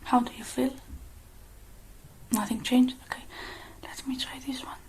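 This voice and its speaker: A woman whispers slowly and softly into a close microphone in an ASMR roleplay style. Her voice is breathy and dark, staying very even and gentle, with pauses between short phrases. There is a faint noise behind her. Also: high voice. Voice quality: breathy whisper, mostly unvoiced. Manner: hushed, gentle, slow with many pauses. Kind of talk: whispered ASMR roleplay, one-sided personal attention.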